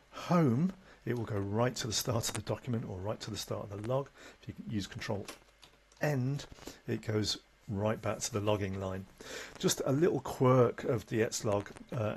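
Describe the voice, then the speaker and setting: A man presenting an unscripted, conversational software tutorial, explaining things step by step as an instructor. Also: lowish voice, slightly rough. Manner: instructional, conversational, with tag questions. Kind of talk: unscripted screen-recording tutorial. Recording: noticeable background noise.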